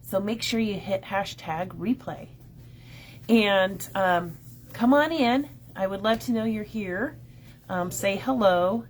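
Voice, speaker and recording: A middle-aged woman with a scratchy, slightly nasal voice hosts a crafting livestream in a friendly, unhurried way. She speaks at an ordinary pitch, often pausing with "um". A faint room ambience sits behind her voice. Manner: friendly, greets viewers by name, many "um"s. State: scratchy, a bit nasal from a cold. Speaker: shop owner hosting a craft livestream. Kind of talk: casual live-stream chat.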